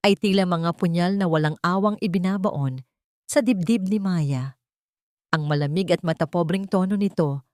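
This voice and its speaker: A woman's voice: lowish voice, darkish tone, no room sound, clean studio sound. A woman storyteller reading a scripted dramatic narration in a solemn, emotive, literary manner.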